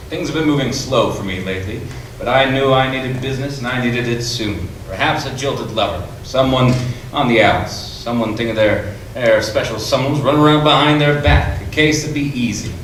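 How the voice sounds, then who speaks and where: A man playing a self-assured, wryly conceited 1940s New York private detective, narrating in old radio drama style with deliberate, hard-boiled storytelling. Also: mid-range voice, fairly bright tone, lightly acted. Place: improv theatre stage before an audience. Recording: echoey theatre stage, distant mic, noisy.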